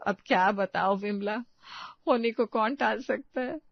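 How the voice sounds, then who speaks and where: A woman's voice: medium pitch, a little slow, creaky, no room sound, lo-fi sound. A woman playing a somber, resigned family matriarch, an elder sister-in-law, in a Hindi cartoon story.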